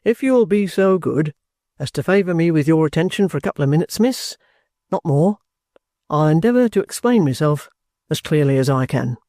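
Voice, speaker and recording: Reader putting on a mellow, bluff old-soldier man. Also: medium pitch, lively intonation, normal speed, no room sound, clean studio sound, lightly acted. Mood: matter-of-fact, resigned. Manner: respectful, offhand, self-possessed. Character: bluff, stubborn, good-humoured male ex-soldier, courteous and plain-spoken.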